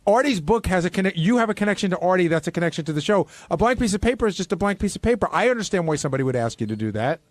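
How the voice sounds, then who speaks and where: Middle-aged male radio host, insistent debater, rapid delivery, dry close sound. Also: high voice, lively intonation, no echo. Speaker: talk show host. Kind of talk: unscripted radio talk and debate.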